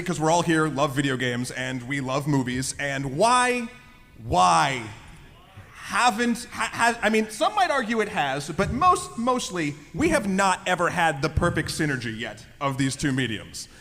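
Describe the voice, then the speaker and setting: A man's voice: sits high, bright, forceful tone. A man co-hosting a live film podcast panel in a casual, joking, informal way. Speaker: film podcast co-host, television worker. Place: large hall at a convention. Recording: reverberant hall, live panel.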